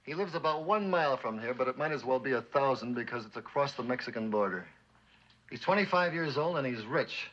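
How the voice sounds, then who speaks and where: An actor playing a cynical, bossy former army captain turned police chief with an American accent, in mid-century suspense drama style. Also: male voice, mid-range voice, expressive, brisk, a bit bright. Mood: grim, intent. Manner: needling, bossy. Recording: dull, lo-fi old drama soundtrack.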